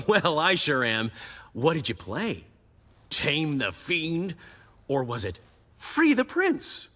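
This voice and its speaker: A man's voice: a little high, huge pitch swings, slow, fairly bright tone, heavily acted. A man playing a flamboyant, teasing bard and ladies' man in a video game, with a theatrical, amused delivery.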